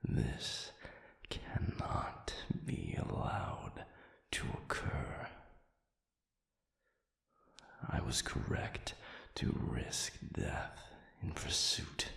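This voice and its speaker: A man playing an ancient, grave demon in a dramatic audio roleplay, speaking in a breathy half-whisper with halting, formal, solemn diction. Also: a bit bright, roomy sound. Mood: alarmed, stern.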